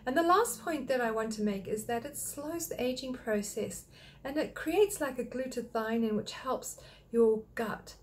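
An older woman from Australia who runs a thyroid clinic, talking straight to a webcam in a small home office and calmly listing health points one by one. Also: on the high side, a little slow, a little hiss or hum. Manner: friendly, explanatory, lists points one by one. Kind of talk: direct-to-camera health talk.

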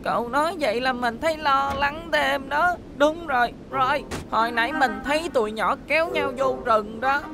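Anxious high-voiced female cartoon friend. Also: moderate pace, few pauses, music in the background. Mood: worried. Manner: anxious, questioning. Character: worried, anxious young rescue-team friend. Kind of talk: animated children's cartoon dub.

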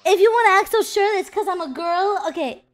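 A woman's voice: very high voice, fairly quick. A woman streamer bantering casually in a social VR game, brash and playful with plenty of swearing.

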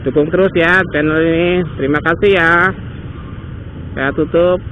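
A man's voice: on the high side, steady tone, unhurried. A man from Indonesia chatting casually as a motovlogger while riding outdoors. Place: outdoors, riding a motorbike on city roads. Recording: dull helmet mic with loud traffic and engine noise. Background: loud engine, wind and passing traffic.

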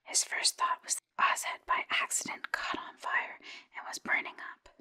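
A woman reading a children's story in a breathy, unvoiced whisper, as scripted ASMR-style narration. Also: moderate pace, sharp tone. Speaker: storybook reader.

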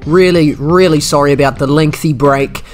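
A man's voice: on the high side, fairly even intonation, moderate pace, faint music behind. Australian man, nasal from a cold. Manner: chatty, self-deprecating play-by-play. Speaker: gaming commentator. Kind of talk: racing game commentary over gameplay. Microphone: desk USB microphone.

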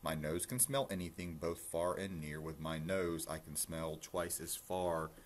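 A big, proud bear from a storybook, played by a man reading aloud. The voice is deep, gruff and growling, with a scornful, competitive temper, over faint noise. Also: a little slow.

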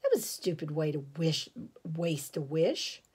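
A woman's voice: deep voice, lively intonation, unhurried, darkish tone, slightly rough, full character voice. A woman reading a storybook aloud, voicing a spoiled, rude young princess with a bratty, defiant manner. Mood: snippy.

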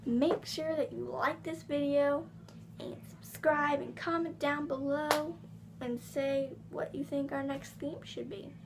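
High-voiced chatty teenage girl vlogging. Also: steady tone, slow, noisy background. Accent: Southern US. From England. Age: teens. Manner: bubbly, chatty, lots of ums. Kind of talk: casual chat to camera. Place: living room at home, indoors. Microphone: device camera on table.

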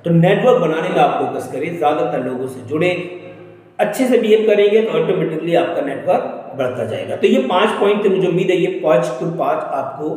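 A man's voice: fairly high pitch, expressive, a little fast, a little mellow. A man with an Indian accent hosting an educational explainer, talking viewers through advice point by point. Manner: instructional, point by point. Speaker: host of an educational career channel. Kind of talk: explainer video voice-over addressed to viewers. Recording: very echoey room with long reverb.

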